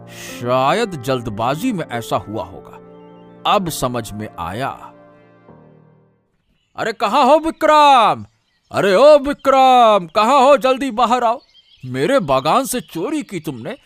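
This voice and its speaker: High male voice, actor playing bullying orchard owner, cartoon villager, blustering, exclamatory, scheming. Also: fairly bright tone, heavily acted. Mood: probing, sly. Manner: blustering, exclamatory, repeats commands. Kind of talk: Hindi cartoon moral-story voice acting.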